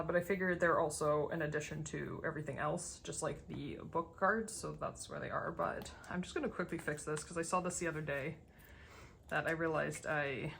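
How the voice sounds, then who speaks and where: A woman with a slightly gravelly, dry voice giving a casual, unscripted tour of a collection, rambling as she thinks aloud. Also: sits low, slight background noise. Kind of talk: casual unscripted show-and-tell commentary.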